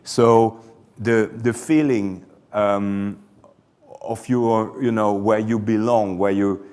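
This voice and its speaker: A Belgian man answering audience questions after a conference talk, often hesitating and repeating words before he warms up. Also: fairly low pitch, fairly even intonation, slow, clean tone. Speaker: conference presenter.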